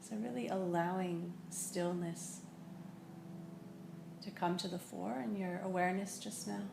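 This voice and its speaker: A woman teaching a guided meditation, speaking in a gentle, unhurried way with long pauses. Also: on the low side, steady tone, gravelly. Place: indoor room in a building. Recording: echoey room with faint noise.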